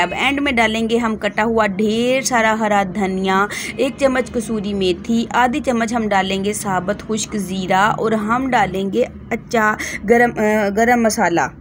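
High-voiced Pakistani woman, noisy recording. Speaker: home cook and cooking channel host. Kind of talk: cooking vlog voice-over, step-by-step instruction. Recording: clearly noisy home recording.

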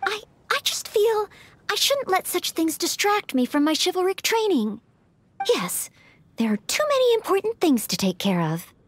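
An actress voicing a shy, earnest, dutiful teenage maid knight in an anime-style game, polite and hesitant. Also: female voice, extremely high pitch, big pitch swings, moderate pace, dry recording.

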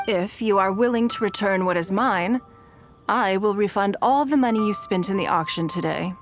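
A woman voicing a calm, shrewd, wealthy noblewoman in a game, speaking in a measured, commanding way, with music behind her. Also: medium pitch, lightly acted. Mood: cool. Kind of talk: game dialogue voice acting.

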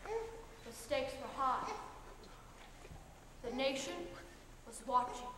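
A woman's voice: high voice, big pitch swings, takes it slowly, full character voice. A woman acting in an amateur stage comedy, speaking in a dramatic, emphatic storyteller's way. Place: large theater stage. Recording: echoey noisy theater from afar.

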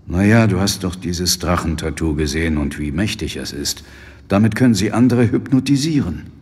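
Ein Schauspieler spielt in einem Hörspiel einen nüchternen, wachsamen britischen Polizeiinspektor mit sachlicher, skeptischer Art. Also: male voice, sits low, animated, moderate pace, a little mellow, husky, in a large hall. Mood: wary, sober. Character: sober, wary male British police inspector. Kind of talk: naturalistic audio drama acting. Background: music.

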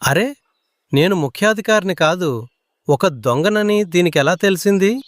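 A man's voice: high voice, expressive, unhurried, dry recording. A man voicing a threatening, thuggish crow thief who poses as an officer in a children's cartoon. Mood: taken aback.

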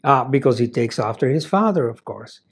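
A man's voice: medium pitch, animated, a little fast, husky. An older man whose first language is Dutch, seated at a desk and giving a conversational talk with many "you see" asides. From Belgium. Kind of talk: lecture-style talk. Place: office room indoors.

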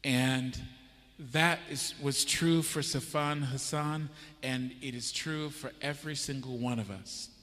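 A man's voice: medium pitch, a little slow, sharp tone. A middle-aged American man preaching a sermon on a church stage, telling a story and asking the audience questions. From United States. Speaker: pastor. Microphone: handheld microphone. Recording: church hall reverb.

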